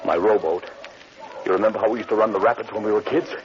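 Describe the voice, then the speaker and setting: A man's voice: on the low side, fairly even intonation, fast, natural voice. An actor playing an earnest, restrained and worried man, a dutiful son, in 1940s radio drama style. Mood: tense, guarded. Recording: muffled, hissy 1940s radio broadcast.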